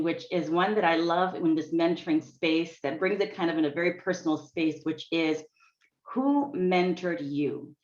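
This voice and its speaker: Echoey, low-voiced woman moderating. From Boston, United States. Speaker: webinar moderator. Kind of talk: introducing panelists and asking questions. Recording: muffled, echoey webinar audio.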